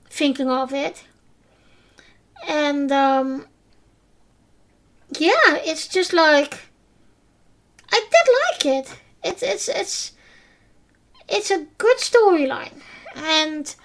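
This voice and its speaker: Woman, high voice, hesitant, lots of fillers, podcast recap host. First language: Dutch. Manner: hesitant, many fillers and restarts; simple non-native phrasing. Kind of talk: casual episode recap.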